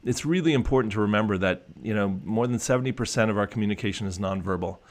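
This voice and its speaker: A man's voice: lowish voice, quick pace. A man working as a coach, talking in a casual conversational discussion, reflective and full of restarts and fillers. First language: English.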